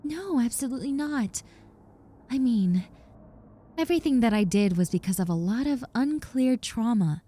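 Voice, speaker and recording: Woman playing a soft, high-voiced, nervous young roommate. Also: lively intonation, normal speed. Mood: earnest, reassuring. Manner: hesitant, earnest, stammering and reassuring. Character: nervous, apologetic young woman, reformed obsessive yandere roommate. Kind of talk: roleplay audio drama, conversational monologue to the listener.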